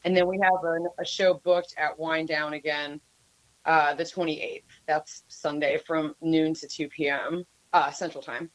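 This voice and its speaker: A woman with a notably deep voice talks as a guest in a casual interview, chatty and quick to laugh. She sits lower in pitch than most women. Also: steady tone, rough recording. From United States.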